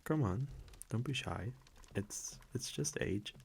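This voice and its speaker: A man playing an affectionate centuries-old vampire boyfriend in an intimate ASMR roleplay, speaking softly, hesitantly and teasingly into a close microphone. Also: lowish voice, expressive, slow, gravelly, slight background noise, exaggerated voice. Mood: teasing, fond. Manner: soft, hesitant, teasing, chuckling between phrases.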